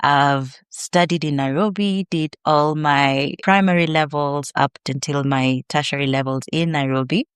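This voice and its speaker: A woman with a Kenyan accent, a podcast guest and researcher, telling her story in a measured, earnest way. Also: deep voice, steady tone, smooth, no echo. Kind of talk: reflective interview answers.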